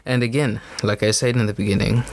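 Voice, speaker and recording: A man's voice: on the low side, fairly even intonation, brisk, slight background noise, poor audio. A young man from Zimbabwe, hosting a podcast and talking through his views in an earnest, rambling way, full of "you know" fillers. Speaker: podcast host. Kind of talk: unscripted opinion monologue.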